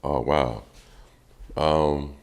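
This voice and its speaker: Very deep-voiced young man, relaxed. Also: extremely slow. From Trenton, New Jersey. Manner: relaxed, easygoing, many fillers. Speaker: guest drummer and producer. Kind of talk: casual interview talk.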